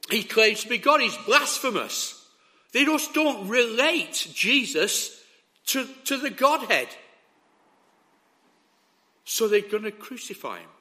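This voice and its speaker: Hoarse preacher, high and animated. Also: male voice. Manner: emphatic, checks in with the listeners. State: hoarse, sore throat. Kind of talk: sermon.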